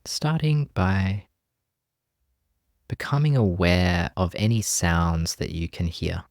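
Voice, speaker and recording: A man with a deep voice guides a meditation at a very slow pace. He is gentle and unhurried, letting each instruction settle with long pauses. The sound is dry and close, without room reflections. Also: no echo. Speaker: meditation teacher.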